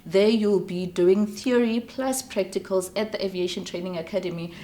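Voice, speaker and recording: A woman's voice: mid-range voice, fairly even intonation, normal speed, mellow. A woman with a South African accent, speaking as an air traffic controller being interviewed, explaining things informally.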